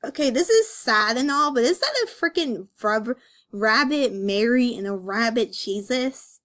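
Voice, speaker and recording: A woman's voice: on the high side, expressive, measured pace, a bit bright. A woman giving casual, opinionated commentary in a slangy, exasperated way as an amateur reader.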